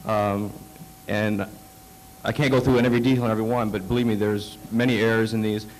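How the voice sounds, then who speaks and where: A man presenting slides at a conference, halting with many fillers and restarts, fighting off a cold. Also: on the low side, fairly even intonation, slight background noise, rough recording. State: coming down with a cold. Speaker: conference speaker. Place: conference hall.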